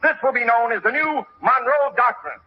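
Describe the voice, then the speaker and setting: An actor playing a snappy, urgent gossip newscaster in an old radio comedy. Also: male voice, very high voice, normal speed. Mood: urgent, scolding. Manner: urgent, punchy announcing. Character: rapid-fire snappy gossip newscaster parody. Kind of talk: 1940s radio comedy, mock news bulletin. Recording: noisy, muffled old radio broadcast.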